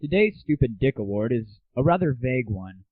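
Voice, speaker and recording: A man's voice: mid-range voice, very animated, brisk, no echo, soft background music. A young man hosting an internet radio show, talking casually about gaming in an irreverent, sarcastic, slangy way. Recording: tinny, phone-like 1990s internet audio, roomless.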